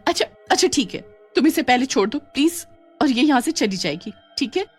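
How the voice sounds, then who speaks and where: A woman plays a frightened young college student in a cartoon horror story. Her voice is pitched high, urgent and pleading. Music runs behind her. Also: sing-song, a little fast, husky, full character voice. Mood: anxious. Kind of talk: Hindi cartoon story acting.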